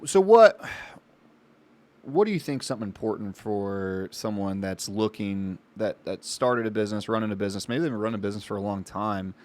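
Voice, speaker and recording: A man with a slightly low voice talks as a podcast co-host. His delivery is conversational and informal. He sounds reflective as he thinks out loud. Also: animated, fairly quick. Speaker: podcast co-host and business owner. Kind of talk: casual conversational podcast talk.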